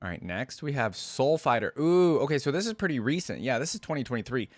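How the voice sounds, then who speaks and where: A man's voice: mid-range voice, big pitch swings, fast talker, no echo. A man giving casual, informal reaction commentary as an online host, musing and thinking aloud as he goes.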